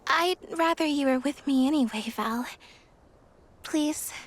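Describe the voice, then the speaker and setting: An actress playing a gentle, devoted young village woman in a fantasy game, soft and dreamy with lingering pauses. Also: sits high. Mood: shyly happy. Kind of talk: fantasy video game voice acting.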